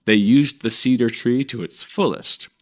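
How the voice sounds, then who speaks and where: A man reading a scripted educational story for children in a measured, clearly phrased read-aloud manner. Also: medium pitch. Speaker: narrator reading a children's magazine article.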